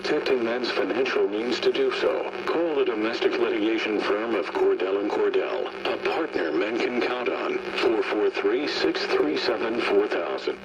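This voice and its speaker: A man's voice: lowish voice, normal speed, few pauses. A man reading a scripted radio advertisement as a commercial announcer. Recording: dull, noisy radio set playback.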